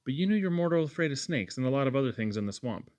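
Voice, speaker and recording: A man reads as a warm-hearted housewife from a storybook. She is practical and fussy, scolding in an emphatic, homely way, with her pitch lifted a little and very little room sound. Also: animated, rapid-fire, no echo, lightly acted. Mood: brisk, insistent.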